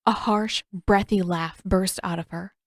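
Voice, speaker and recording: A woman's voice: medium pitch, steady tone, unhurried, dry recording, studio quality. A woman reading scripted fiction as the narrator of an audiobook-style story. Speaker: fan fiction author and narrator.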